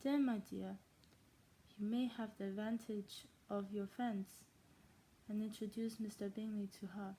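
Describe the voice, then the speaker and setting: A woman playing a dry, teasing older gentleman from a classic novel, in a clumsy put-on German and then Scottish accent, stumbling and restarting words. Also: mid-range voice, fairly even intonation, measured pace, a little hiss or hum, lightly acted, rough recording. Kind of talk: amateur read-aloud of a classic novel.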